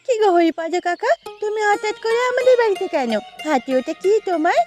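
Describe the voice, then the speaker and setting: A woman's voice: very high voice, expressive, a little fast. A woman voicing a friendly, helpful, chatty young village woman in a Bengali cartoon. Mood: curious. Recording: music behind the voice.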